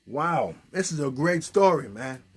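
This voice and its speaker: A man's voice: a little high, animated, a little slow, breathy edge. A man hosting a puppet show, chatting casually and encouragingly and prompting with questions. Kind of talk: casual, unscripted chat.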